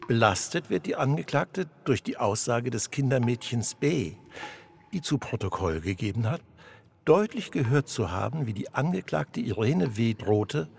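A solemn judge addresses the accused in a radio drama. The voice is gravelly, measured and admonishing, with faint noise behind it. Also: male voice, mid-range voice, sing-song. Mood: formal, accusing. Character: stern, solemn male judge in an imagined childhood trial. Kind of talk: formal courtroom oration in a radio play.

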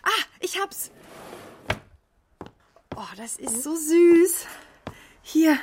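A woman playing a romantic, nostalgic mother in a children's radio play, with a husky voice and a colloquial, exclaiming delivery. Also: very high-pitched, expressive, extremely slow, noisy background, exaggerated voice. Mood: excited, gushing.

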